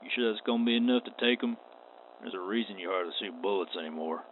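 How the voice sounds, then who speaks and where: An actor playing a stern, wary space western marshal in a radio play, with a terse, folksy drawl. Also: male voice, fairly low pitch, quick pace, close to an everyday voice. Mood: dry, skeptical. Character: gruff, wary, stern male frontier marshal. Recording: tinny band-limited audio with clear noise.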